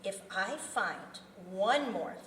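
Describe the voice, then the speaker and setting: A woman's voice: mid-range voice, lively intonation, slow pace, fairly bright tone. A woman playing an older, bossy and chatty serial killer mastermind at a live table read, with a businesslike managerial manner. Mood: businesslike, wry. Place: festival venue room. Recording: roomy, distant mic, faint noise.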